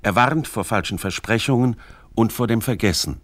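A man's voice: fairly low pitch, normal speed. A man reading scripted narration for a literary radio documentary.

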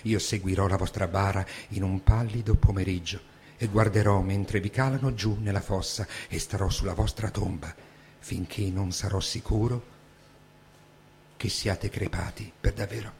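A man's voice: low voice, fairly even intonation, normal speed, a little mellow. A man giving a dramatic stage reading of a text. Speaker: stage reader. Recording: hum and background noise.

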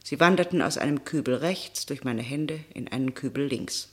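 A woman's voice: very deep voice, normal speed. An actress playing a weary, sorrowful working-class mother who also narrates plainly in a classic radio drama. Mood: resigned, wistful.

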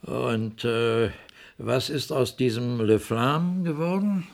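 An actor playing a guarded, condescending male client in a German radio play, dry and skeptical, with a creaky voice. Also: medium pitch, slow pace. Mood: skeptical, curious.

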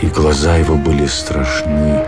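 A man's voice: rumbling low, flat delivery, unhurried. A man narrating a literary story dramatically as part of a radio play. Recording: music bed under the voice.

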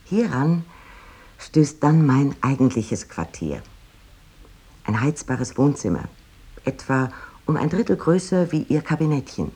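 An actress playing a proud, delighted 19th-century woman writer, reading out her letter in precise, expressive, old-fashioned literary diction. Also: extremely low pitch, measured pace, long pauses, husky, full character voice. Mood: delighted, detailed.

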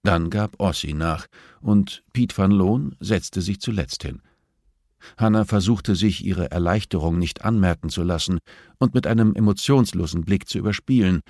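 Casting: male narrator for thriller audiobook, low gravelly register, very dry studio sound. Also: darkish tone, dry recording.

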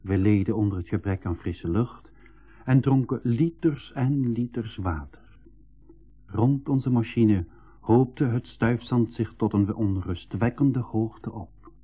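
Earnest storyteller, scripted radio narration, lowish voice, thin phone-like sound. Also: male voice, slow pace, slightly rough. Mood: measured. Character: earnest male narrator recalling his own expedition adventure. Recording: tinny, band-limited old radio recording.

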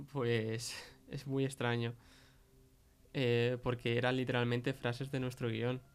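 A man's voice: medium pitch, level tone, slow pace, smooth. An actor playing a rattled, defensive young man recounting a creepy stalker in hesitant, slangy Castilian Spanish. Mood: rattled, insistent. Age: young adult. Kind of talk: conversational interview in an audio fiction podcast.

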